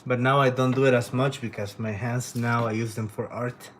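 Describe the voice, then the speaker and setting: A man's voice: mid-range voice, little pitch movement, normal speed, background noise. A man from Honduras who speaks natively, chatting casually and rambling with plenty of swearing as he hosts a livestream. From Honduras, living in Canada since age twelve. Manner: informal, profane, rambling. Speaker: livestream host and painter.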